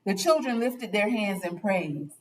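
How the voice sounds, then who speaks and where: A woman reading a children's picture book aloud with deliberate, dramatic phrasing as a storyteller. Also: mid-range voice, recorded from a distance, low-quality recording.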